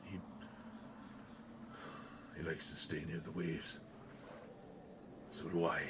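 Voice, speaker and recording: A man playing a brutish, slow-witted but protective monster in an audio roleplay, speaking in halting phrases that swing from hushed to loud. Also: very deep voice, flat delivery, background noise. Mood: hushed, menacing. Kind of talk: intimate dramatic audio roleplay monologue.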